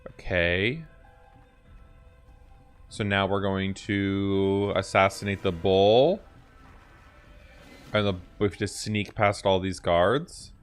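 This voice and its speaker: A man's voice: low-pitched, takes it slowly, long pauses, clean tone, soft background music. A young man giving casual reaction commentary as host of a video game channel.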